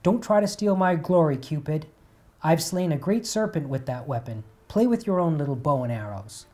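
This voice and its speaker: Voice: mid-range voice, a little slow, close to an everyday voice. A narrator voicing a proud, lovestruck young sun god. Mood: angry. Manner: pleading, imperious shouting.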